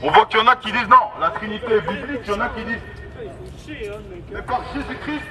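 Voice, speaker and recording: High-pitched male street preacher on a megaphone. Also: fairly quick, a crowd in the background. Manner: emphatic, repetitive, exhorting. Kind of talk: evangelistic street sermon. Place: outdoors in an urban street. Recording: distant, noisy outdoor megaphone with street traffic.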